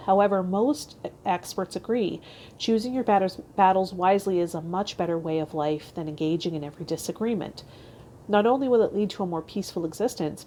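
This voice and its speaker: A woman's voice: fairly low pitch, warm tone, slight background noise. A woman hosting a tarot vlog, reading aloud and talking to her viewers. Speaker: tarot channel host. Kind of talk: reading card meanings aloud and casual vlog talk.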